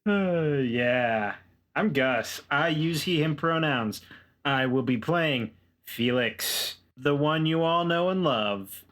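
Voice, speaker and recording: A man's voice: fairly high pitch, expressive, takes it slowly, fairly bright tone. A man who plays on a tabletop podcast, bantering casually in a dry, mock-serious way. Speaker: podcast player.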